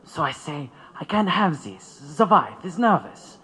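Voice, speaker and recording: Nervous, bright-voiced immigrant man, swooping pitch. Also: sing-song, unhurried, husky, full character voice. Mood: fearful, hesitant. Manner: anxious, hesitant. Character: nervous, worried German immigrant radical. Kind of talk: audio drama acting.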